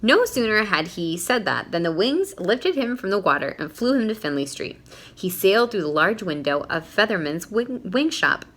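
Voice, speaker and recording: A woman's voice: mid-range voice, sing-song, moderate pace, a bit bright. A woman reading a children's picture book aloud expressively, stopping to put questions to young listeners.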